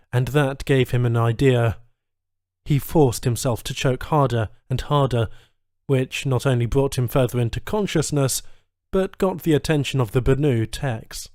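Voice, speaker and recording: A man narrating a science fiction story in a deliberate, suspenseful, dramatic reading style. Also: mid-range voice, a little mellow, dry recording, studio quality. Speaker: audiobook narrator.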